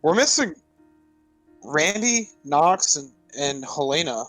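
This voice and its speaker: A man chats playfully as a player in a tabletop roleplaying game. His pitch runs a little high. Faint music plays behind him. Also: expressive, takes it slowly, fairly bright tone, dry recording. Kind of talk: casual, playful roleplay chat.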